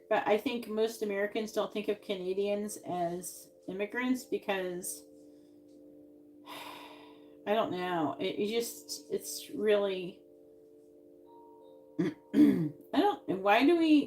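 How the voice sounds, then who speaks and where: A woman reading tarot cards on a live stream, musing out loud and trailing off with sighs. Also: medium pitch. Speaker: tarot reader.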